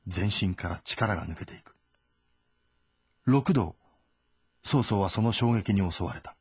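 A man reading dramatic scripted narration of a historical novel, in terse, measured sentences. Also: sits low, lively intonation, husky, no room sound, studio quality. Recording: voice over background music.

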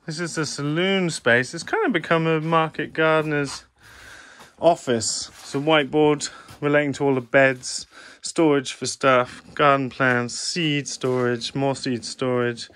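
Relaxed man on a farm walk. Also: on the high side, a little slow, smooth. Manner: relaxed, gentle, chatty with a child. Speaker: farmer giving a farm tour. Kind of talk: casual walking farm tour vlog. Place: outdoors on a farm and in sheds. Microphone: handheld phone camera.

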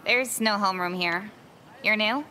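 A woman's voice: medium pitch, moderate pace, pushed and bright, natural voice. An actress voicing a cool, casually snarky teenage schoolgirl in a visual novel. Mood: friendly, snarky.

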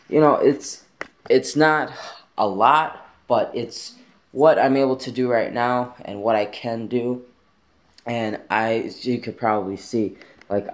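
A young man vlogging casually from his bedroom, talking in a rambling, easygoing way with plenty of fillers, recorded on a handheld camera at arm's length. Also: medium pitch. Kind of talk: casual vlog talk to camera. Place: bedroom at home, indoors.

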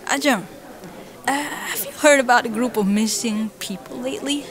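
A young woman voicing a curious, chatty young musician in an animated comic series, with loud music playing behind her. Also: a little high, moderate pace, some chatter behind, natural voice. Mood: worried. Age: young adult. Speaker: singer-songwriter lead character.

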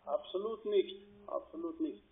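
A man with a foreign accent, a non-native speaker, talking haltingly and repetitively on a sales call. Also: sits high, sing-song, creaky. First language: not German. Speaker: call-centre trader. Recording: tinny narrowband phone line.

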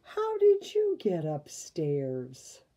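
A woman's voice: deep voice, huge pitch swings, extremely slow, warm tone, exaggerated voice. An older woman voicing a startled, suspicious night watchman in a storybook, exclaiming and questioning. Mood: suspicious surprise.

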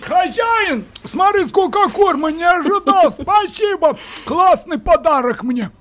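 A woman's voice: very high-pitched, expressive, unhurried, fairly bright tone, full character voice. A woman voicing cheeky, dramatic talking pets in a comic animal dub, full of exclamations. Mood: pleased. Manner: exclamatory, theatrical.

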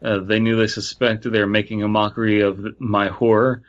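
A man's voice: fairly low pitch, flat delivery, normal speed. A man hosting a podcast, reading a story aloud and breaking off to joke about it. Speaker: podcast host. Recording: slightly dull, lo-fi sound.

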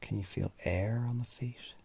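Husky, low-voiced man guiding meditation. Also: fairly even intonation. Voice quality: husky, breathy, often trailing into near-whisper. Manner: unhurried phrases with long pauses, gently instructing. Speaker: mindfulness meditation teacher. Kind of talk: guided body scan meditation.

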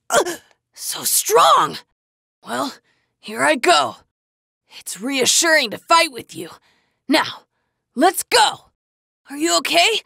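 A woman voicing an earnest, forceful teenage boy martial-arts hero, in anime video game battle lines. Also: very high voice, gravelly, no echo, clean studio sound, full character voice. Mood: earnest, urgent.

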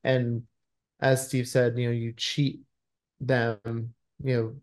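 A man's voice: mid-range voice, completely flat delivery, takes it slowly, darkish tone. A man in his thirties giving a conversational interview over a video call, effusive and thoughtful as he searches for his words. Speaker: screenwriter being interviewed. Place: indoors in a room at home, on a video call. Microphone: computer video-call microphone.

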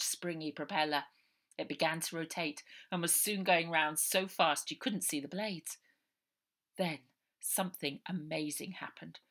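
English lady in her 50s reading kids adventure book, lowish bright voice. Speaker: author reading own novel. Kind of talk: expressive children's story reading. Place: small room indoors at home.

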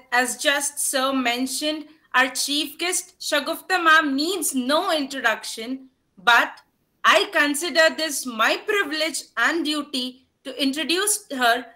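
A woman's voice: sits high, pushed and bright. A young woman, steadily reading a formal introduction of a guest on a video call. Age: young adult. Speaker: event host.

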